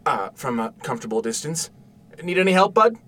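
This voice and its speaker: Man playing gentle hesitant dad, slightly distant. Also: a little high, very animated, fairly quick. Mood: tender, wondering. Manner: hesitant, soft-spoken, stammering when worked up. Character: gentle, eager, protective father of a withdrawn young boy. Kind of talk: naturalistic audio drama acting.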